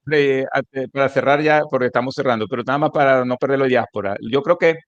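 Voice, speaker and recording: A man's voice: mid-range voice, fast talker. Venezuelan man on a slightly dull call. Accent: Venezuelan Spanish. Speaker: interview guest, mathematics educator. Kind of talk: conversational online interview. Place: remote online call from Colombia.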